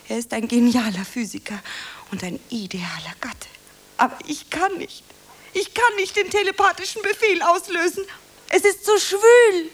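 A woman playing a young, emotional aspiring actress in a radio drama, melodramatic and given to breathy asides. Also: sits high, a bit bright, full character voice. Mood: frantic, declaiming. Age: young adult. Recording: faint hiss.

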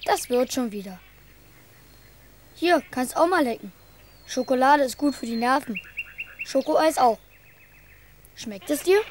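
Eager, high-voiced young boy. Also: expressive, unhurried, pauses often, slight background noise. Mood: caring. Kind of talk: children's radio play acting.

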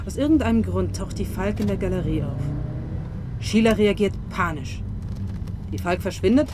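A woman's voice: mid-range voice, normal speed, noisy background, lightly acted. An actress playing a curt, exasperated female police detective who bosses her colleague in a German radio crime drama. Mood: brisk, pondering. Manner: curt, bossy.

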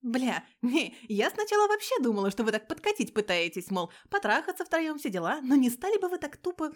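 Woman doing a tiny mouthy cop lady, slangy and suspicious, scolds her colleagues, pitched up. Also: very animated, fairly quick. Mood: suspicious, confrontational.

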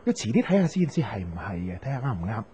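An actor with a Hong Kong Cantonese accent playing a gossipy, campy, meddling friend in a radio drama. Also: male voice, fairly low pitch, very animated, racing, dark tone. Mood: chatty, sly. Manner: chatty, quirky, gossipy. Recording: dull, noisy radio drama recording.

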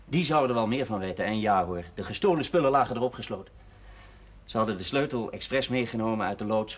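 A village lawman in a television drama. His voice is fairly low and husky. He speaks bluntly and soberly, in a stern, matter-of-fact way. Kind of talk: naturalistic television drama dialogue.

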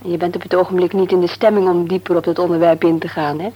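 An actress playing a devout, anxious mother in a Dutch radio play, speaking earnestly and gently. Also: fairly low pitch, flat delivery, fast, husky, muffled recording. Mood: earnest, confiding. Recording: noisy old radio play recording.

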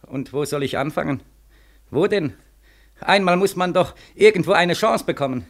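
Plaintive, high-pitched young war returnee. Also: male voice, lively intonation, moderate pace. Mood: desperate, accusing. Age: young adult. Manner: plaintive, insistent, with repeated phrases. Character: bitter, desperate 25-year-old German war returnee. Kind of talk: 1940s German radio drama acting. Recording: 1940s radio recording.

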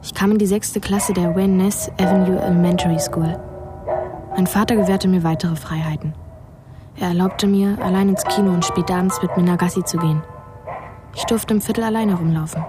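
A woman's voice: fairly low pitch, fairly even intonation, brisk, over music, close to an everyday voice. An actress in a radio play narrating the memories of a bereaved, furtive boy in a detached, laconic way.

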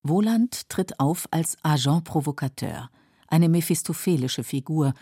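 A woman narrating a scripted literary documentary in a measured, explanatory way, with a husky, creaky voice. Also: deep voice, warm tone, no echo, clean studio sound. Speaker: narrator of literary radio feature.

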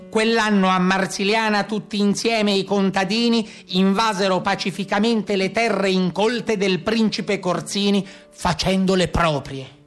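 A male stage storyteller with a Tuscan accent delivers scripted narration. His manner is solemn and measured, and his pitch sits in an ordinary range. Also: fairly bright tone.

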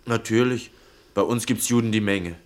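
A man's voice: lowish voice, moderate pace, close to an everyday voice. An actor playing an earnest, indignant young man in a German radio drama, direct and challenging. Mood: curt, wary.

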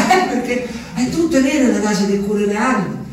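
An actor playing a simple, haunted country man who tells his story softly and breaks into strained outbursts, in a radio drama. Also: high-pitched, lively intonation, normal speed, distant mic, slight background noise. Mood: anguished. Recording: echoey radio broadcast, voice a bit off mic.

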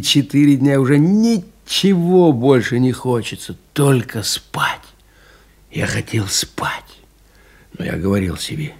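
An actor playing an exhausted, stubborn pilot recalling his survival in a radio play, speaking in a husky, gravelly voice with halting, weighted pauses. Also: lowish voice. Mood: weary, intense, then fierce resolve. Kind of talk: dramatic radio play monologue.

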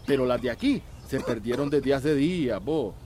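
An actor playing a resigned but good-humoured farmer in a radio drama, with a folksy way of talking. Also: male voice, medium pitch, expressive, normal speed, a crowd in the background, lightly acted.

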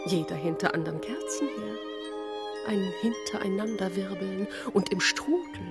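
An actress playing a rapt, dreamy narrator in a poetic radio play, speaking in lyrical, drawn-out phrases. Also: female voice, mid-range voice, sing-song. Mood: rapt, wondering. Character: dreamy, rapt woman narrator of a surreal inner world. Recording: loud violin music behind the voice. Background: violin music.

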